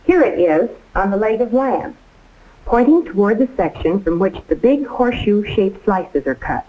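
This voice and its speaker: A woman's voice: mid-range voice, lively intonation, normal speed, warm tone, low-quality recording. A woman giving precise, step-by-step scripted instruction as a home economist in a 1950s training film. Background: music.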